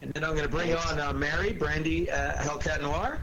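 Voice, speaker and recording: A man hosting informal cast introductions as an announcer, speaking in a halting, hesitant way. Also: fairly high pitch, fairly even intonation. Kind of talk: informal curtain-call hosting. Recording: faint noise, room ambience.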